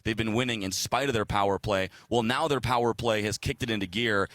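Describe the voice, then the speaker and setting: Low-voiced man giving dry-sounding sports analysis. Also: brisk, a bit bright, no echo. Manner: explanatory, casual, checks in with "right?". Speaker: sports play-by-play broadcaster, guest analyst.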